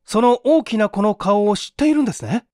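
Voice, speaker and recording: An earnest schoolteacher, acted by a man in an audio story, questions insistently. The voice is raised and high, very animated, and recorded dry. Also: a little fast, no room sound. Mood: concerned.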